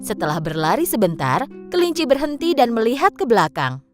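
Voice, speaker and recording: A woman narrating a scripted children's fable in an expressive storytelling style. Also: fairly high pitch, brisk, over music.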